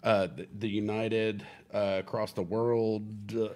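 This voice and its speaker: A man's voice: on the low side, little pitch movement, measured pace, darkish tone. A man co-hosting a live music stream, rambling and joking, greeting viewers as he goes. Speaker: co-host of a music show. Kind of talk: casual live-stream chat.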